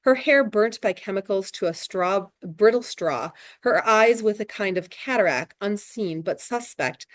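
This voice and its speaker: A woman, a teacher, reading a novel aloud in plain, steady storytelling with small restarts. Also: mid-range voice, unhurried, no echo. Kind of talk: read-aloud narration with brief commentary.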